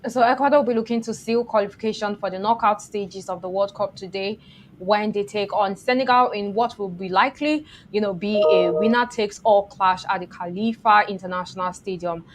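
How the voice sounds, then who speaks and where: A woman's voice: mid-range voice, a little fast, faint music behind, poor audio. A woman hosting a football show, reading scripted match previews and putting questions to viewers and guests.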